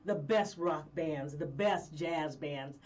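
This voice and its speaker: Woman guest from Colorado, lively rambling storyteller, lower pitch, recorded a little distant. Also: slow, slight background noise. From Colorado, USA. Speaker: guest singer. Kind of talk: casual interview chat.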